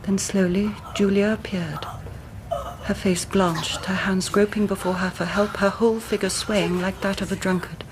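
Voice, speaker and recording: An actress playing a grieving young English gentlewoman in a radio drama, speaking formally and precisely as a dramatic storyteller. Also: medium pitch, fairly even intonation, normal speed, background noise, lightly acted. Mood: haunted, dramatic. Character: grieving young gentlewoman recounting her twin sister's death.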